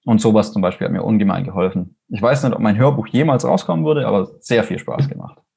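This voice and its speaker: A man's voice: on the low side, fast, gravelly, warm tone, slightly rough. A man with a Swabian accent, a fantasy author speaking casually and enthusiastically in an interview, rambling with fillers and restarts. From Swabia, Germany.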